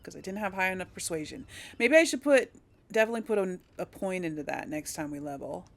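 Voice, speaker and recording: A woman's voice: fairly low pitch, expressive, fast. A woman hosting a casual video game let's play, thinking aloud as she explores, with faint game sound behind her.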